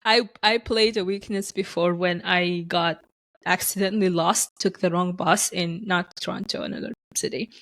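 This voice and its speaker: A woman hosting a podcast, telling stories in casual conversation with lively restarts. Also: lowish voice, moderate pace. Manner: animated storyteller, acts out quoted voices; frequent restarts and fillers. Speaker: podcast host.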